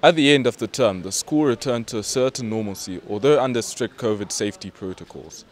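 A man's voice: mid-range voice, fairly quick. A man presenting a school newsreel in scripted news narration.

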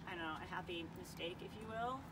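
A woman's voice: on the low side, quick pace. A woman co-hosting a foraging class in an outdoor garden, bubbly and laughing often, filmed by a phone a few meters away. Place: outdoor garden under a canopy. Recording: outdoor, traffic noise behind.

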